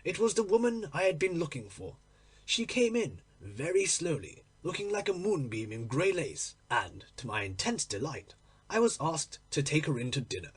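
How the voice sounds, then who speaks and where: A man acts out a troubled, lovestruck gentleman from a classic storybook. He is earnest and impatient, speaking in a fairly high, husky voice with dramatic swings of melody. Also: very animated, moderate pace, exaggerated voice. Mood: wistful, fervent. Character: troubled, impatient, lovestruck English gentleman telling his secret.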